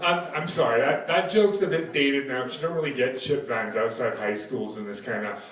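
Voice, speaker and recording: A man's voice: medium pitch, brisk, background noise. A man with an American accent tinged with Scottish, doing a brash, profane stand-up set and bantering with the crowd. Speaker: stand-up comedian. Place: comedy club stage. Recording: echoey room, distant mic, audience laughter. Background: crowd laughter.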